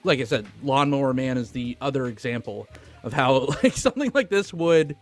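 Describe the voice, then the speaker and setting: A man's voice: mid-range voice, animated, moderate pace. Slangy man chatting over faint music. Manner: informal, slangy. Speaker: film podcast co-host. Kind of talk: casual film discussion.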